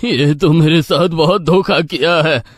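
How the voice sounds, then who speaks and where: A man acting a poor old farmer in a cartoon story, husky, humble and pleading. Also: a little high, steady tone, measured pace, no echo, clean studio sound. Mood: hurt. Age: older. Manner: pleading, troubled.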